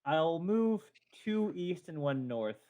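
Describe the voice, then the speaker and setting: A man's voice: on the high side, very animated, slow, highs cut off. A man playing an online tabletop role-playing game, talking casually as one of the players. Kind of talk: casual online gaming chat.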